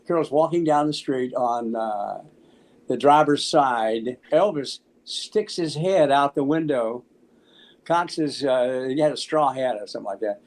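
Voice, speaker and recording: An American man in his seventies casually telling anecdotes in an animated, halting way, acting out the dialogue. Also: medium pitch. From Memphis, United States. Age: 70s. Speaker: interview guest, musician. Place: small room at home, on a video call.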